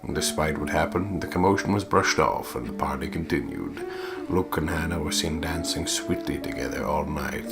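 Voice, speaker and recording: A man with a very low voice gives casual gaming commentary and reads story text aloud. He banters with plenty of swearing while guitar music plays loudly behind him. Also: normal speed, vocal fry. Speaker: let's play co-host. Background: guitar game music.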